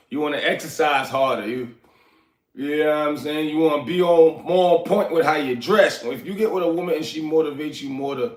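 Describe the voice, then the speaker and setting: A man in his forties from Liberia, livestreaming from his apartment in a loose, rambling way, answering chat and still getting over a sickness. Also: on the high side. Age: 40s. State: recovering from illness, coughing. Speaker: livestream host. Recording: echoey room.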